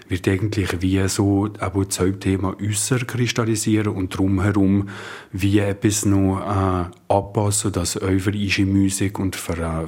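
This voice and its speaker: A man's voice: deep voice, darkish tone, slightly rough. A man, explaining informally as an interviewed musician.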